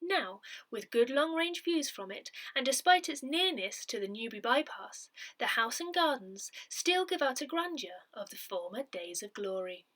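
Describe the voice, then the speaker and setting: A woman's voice: sits high, very animated, moderate pace, few pauses, fairly bright tone. A woman reading a newsletter aloud as scripted narration. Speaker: newsletter reader.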